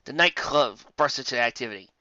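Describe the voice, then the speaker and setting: An expressive narrator reading a scripted story aloud with dramatic delivery, on a lo-fi recording. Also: mid-range voice, a little fast, dry recording. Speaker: fan fiction reader. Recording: dull lo-fi close recording.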